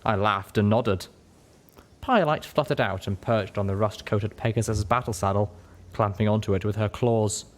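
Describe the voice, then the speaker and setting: A man's voice: fairly low pitch, normal speed. A man reading a scripted first-person adventure story as an audiobook narrator. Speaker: audiobook reader.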